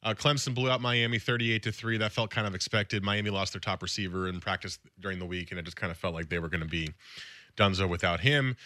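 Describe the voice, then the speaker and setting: A man co-hosting a sports radio show, talking college football in quick, casual conversation with a lot of filler words. His voice is slightly raspy from a recent illness. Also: sits low, steady tone, pushed and bright, dry recording. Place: radio studio.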